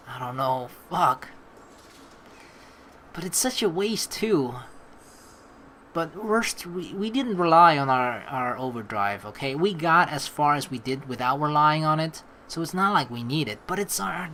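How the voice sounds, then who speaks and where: A let's player reading game text aloud and giving casual, rambling, halting commentary as they think out loud. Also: mid-range voice, slight background noise.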